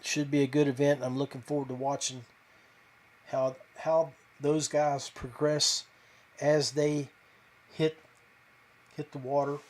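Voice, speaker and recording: A man hosting a casual fishing podcast, talking off the cuff in a halting way and speaking straight to his listeners. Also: fairly high pitch, flat delivery, a little mellow. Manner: halting, conversational, addresses listeners directly. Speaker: podcast host. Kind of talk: casual live fishing talk and commentary.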